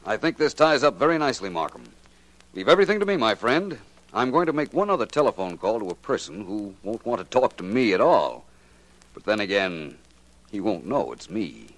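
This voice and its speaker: An actor playing a shrewd, composed detective in a 1940s radio drama, measured, assured and formally polite as he probes. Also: fairly low pitch, big pitch swings, fairly bright tone, rough recording. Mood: businesslike.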